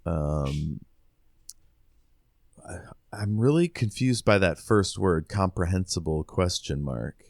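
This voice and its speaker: A man co-hosting a podcast, casually recapping and discussing a book in a halting way. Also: deep voice, animated, vocal fry, darkish tone, dry recording, clean studio sound. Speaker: podcast co-host.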